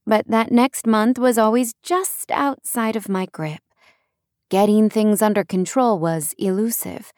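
A woman's voice: mid-range voice, unhurried, a little mellow, no room sound, clean studio sound. A woman narrating a first-person nonfiction audiobook in a conversational way, leaning on key words for emphasis.

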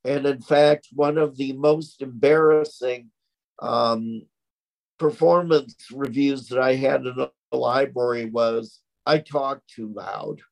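A middle-aged man talks in an interview at a very unhurried pace. His voice is loud and projecting, and he over-articulates each word with care. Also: mid-range voice, fairly even intonation, gravelly, rough recording. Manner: slow, deliberate, over-articulated speech. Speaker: interview guest, stroke survivor. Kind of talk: conversational interview.

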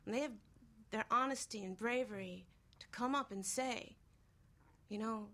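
A woman's voice: on the high side, moderate pace, sharp tone, recorded from a distance. A woman on a radio talk panel, speaking candidly and emphatically, often posing rhetorical questions. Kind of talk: candid conversational discussion.

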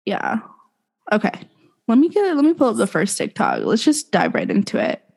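Young woman, podcast co-host, lively swooping pitch, giggly, slangy casual chat, slightly sore throat. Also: normal speed, gravelly, husky. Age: young adult.

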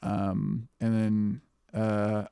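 A man's voice: on the low side, monotone, drawn-out words, vocal fry, dark tone, smooth, no room sound. A man co-hosting a podcast in casual chat, emphatic and heavily profane. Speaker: podcast co-host.

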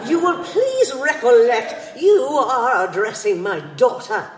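An indignant, haughty society mother from a period stage comedy. She speaks slowly and pompously, with theatrical swoops in pitch. Her voice rings through a reverberant hall. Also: big pitch swings, a little hiss or hum. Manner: pompous, deliberate.

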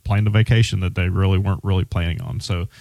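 A financial advisor hosts a radio show in a relaxed, plainspoken way, often restarting his sentences. His voice sits low and sounds dry and close to the mic. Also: quick pace, gravelly, no echo. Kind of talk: conversational radio finance talk.

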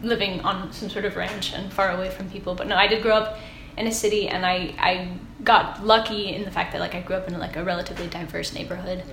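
A young woman from Texas who interviews a guest in a relaxed, casual way, with some fillers. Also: lowish voice, quick pace, vocal fry. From San Antonio, Texas. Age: young adult. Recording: roomy with faint ambient noise.

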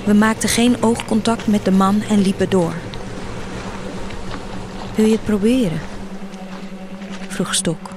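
A woman's voice: medium pitch, slow, pauses often, background noise. A woman reading a literary first-person story as the narrator of an audio drama. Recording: music behind the voice. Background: music.

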